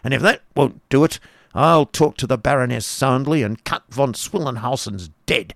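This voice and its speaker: A man's voice: moderate pace, gravelly, fairly bright tone, dry recording, clean studio sound. A man voicing a frank, blustery baron in a storybook reading, with an exclamatory, emphatic delivery. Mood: defiant. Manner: exclamatory, emphatic, jumping up in pitch.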